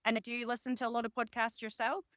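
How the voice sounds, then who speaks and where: Female podcast host, interviewer, slightly high voice, dry close recording. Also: level tone, quick pace, fairly bright tone, dry recording. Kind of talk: scripted introduction and interview questions.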